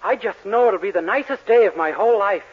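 An actor playing a naive, polite young drugstore clerk, earnest and gushing, in a 1940s radio sitcom. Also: male voice, high-pitched, lively intonation, fast, husky. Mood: eager, grateful. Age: young adult. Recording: muffled vintage radio broadcast.

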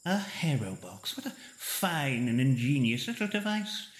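A man's voice: medium pitch, big pitch swings, a little slow, roomy sound. A man voicing a courtly, eager, over-polite host speaking unseen from the shadows in a tabletop game. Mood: courtly, delighted. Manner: genteel, florid, over-polite.

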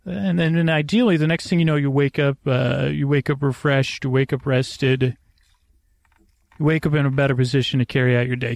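Male sleep-podcast host: creaky, gravelly voice at middling pitch, halting and meandering, recorded very dry. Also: no room sound. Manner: meandering, halting, often trailing off mid-thought. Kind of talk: rambling bedtime monologue to help listeners sleep.

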